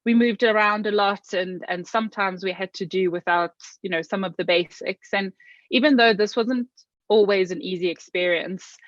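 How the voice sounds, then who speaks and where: A South African woman talking as an interview guest, earnest and reflective, often pausing with "um" and restarting her sentences. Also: mid-range voice, no room sound, muffled recording. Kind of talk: interview conversation.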